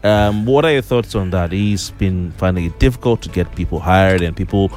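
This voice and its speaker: A low-voiced man in middle age runs a morning radio show. He talks loosely, full of "um" and restarts, teasing his co-host. Soft music plays faintly behind the studio talk. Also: normal speed. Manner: talkative, teasing, many fillers and restarts.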